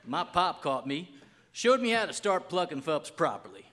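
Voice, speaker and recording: A man's voice: a little high, very animated, normal speed, bright, forceful tone, exaggerated voice. A middle-aged man playing a folksy, cheerful beer lover in a live comedy ad sketch, delivering singsong tongue-twisters. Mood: playful. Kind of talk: live radio-style beer ad parody. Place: stage in a small theatre. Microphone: stand microphone. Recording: live stage amplification in a hall.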